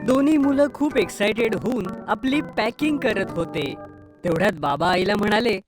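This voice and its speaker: A woman's voice: mid-range voice, animated, moderate pace. A woman reading a scripted children's story as its narrator. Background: music.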